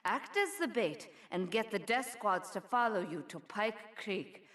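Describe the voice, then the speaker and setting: Cool, poised crime boss, medium pitch. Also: female voice, expressive, measured pace, fairly bright tone. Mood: cool, calculating. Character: cool, ruthless female crime syndicate boss. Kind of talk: scripted video game cutscene acting.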